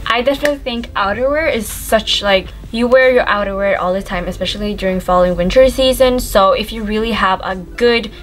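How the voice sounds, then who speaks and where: A twenty-year-old woman from Sweden co-hosting a casual chat, relaxed and full of slang and "like". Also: mid-range voice, a little fast, hardly any pauses, rough recording. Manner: relaxed, trendy slang, many "like" fillers. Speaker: YouTube co-host.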